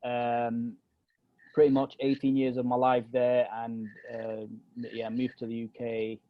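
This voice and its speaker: A man's voice: mid-range voice, completely flat delivery, unhurried, no echo, phone-quality sound. A young man from Egypt, with Arabic as his first language, speaking with a British accent with a hint of American. He co-hosts a casual podcast chat and talks hesitantly, with many fillers and restarts. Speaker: podcast co-host.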